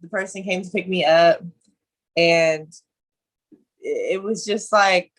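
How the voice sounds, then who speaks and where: A woman's voice: lowish voice, slow pace. A woman in her twenties from Ohio, animatedly telling a story as a guest in a casual conversation.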